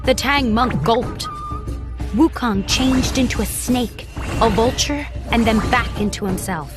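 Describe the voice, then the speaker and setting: A woman's voice: on the high side, animated, measured pace. A woman narrating a scripted children's story as the storyteller. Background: clear background music.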